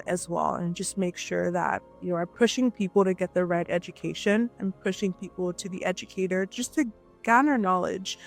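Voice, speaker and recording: A woman's voice: medium pitch, vocal fry. A woman from Nigeria, a guest entrepreneur, talking animatedly and emphatically in a casual interview, often checking in with "right?".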